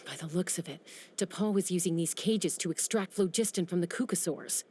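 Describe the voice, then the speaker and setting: A woman playing a practical young female adventurer who speaks in a calm, matter-of-fact way. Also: lowish voice, normal speed, husky. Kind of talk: video game dialogue.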